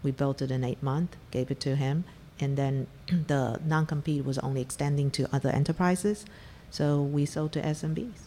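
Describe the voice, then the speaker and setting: A woman's voice: sits low, fairly even intonation, normal speed, warm tone, in a large hall, slight background noise. A middle-aged woman from Taiwan, a venture investor telling her startup story in an interview, candid and blunt. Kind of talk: conversational interview.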